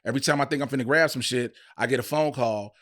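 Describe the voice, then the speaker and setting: A man's voice: medium pitch, fast, a bit bright. A middle-aged man chatting casually as a podcast host, telling stories in heavy slang with plenty of swearing.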